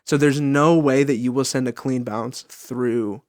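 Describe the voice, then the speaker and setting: Man, medium pitch, informal podcast host, emphatic conversational delivery. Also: steady tone, a little slow. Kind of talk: casual podcast chat.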